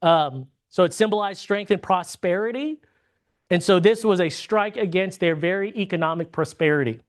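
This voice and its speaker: Bible class teacher, chatty lesson, keeps going "right?", restarts a lot, mid pitch. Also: moderate pace. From United States. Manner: checks in with frequent tag questions; restarts and repeats words mid-sentence.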